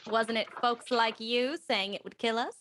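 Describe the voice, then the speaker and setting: An actress playing a bold, flirtatious house guest in a period mystery radio play, with a teasing, theatrical manner. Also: female voice, fairly high pitch, fairly even intonation, normal speed, fairly bright tone, lightly acted. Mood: wry, teasing.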